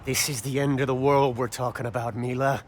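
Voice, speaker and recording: A man's voice: mid-range voice, steady tone, a little fast, slight background noise, natural voice. A man playing an earnest, weary fighter in a video game, conversational and a little wry. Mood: resolute. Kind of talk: dramatic video game cutscene acting.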